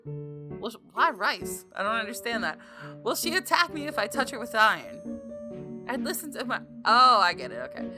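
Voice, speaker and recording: A woman reading a visual novel game aloud in an expressive, theatrical storytelling style, with casual asides. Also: on the high side, moderate pace, music in the background.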